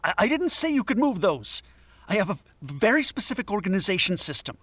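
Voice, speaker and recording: Casting: prickly, troubled male academic, audio drama. Husky, very changeable pitch, curt and defensive. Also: very animated, a little fast, a bit bright, no room sound, full character voice. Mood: indignant, flustered. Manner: curt, indignant, stammering when flustered.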